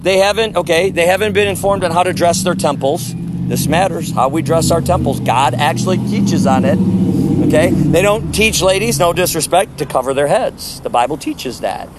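Loud, insistent street preacher man. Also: fairly high pitch, normal speed, heavy background noise. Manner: emphatic, scripture-quoting, insistent. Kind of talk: impassioned preaching conversation.

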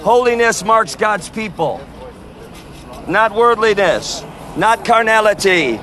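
Loud high-pitched male street preacher. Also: lively intonation, takes it slowly, noisy background. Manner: loud, forceful proclaiming. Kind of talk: open-air street preaching. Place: outdoor sidewalk by a street.

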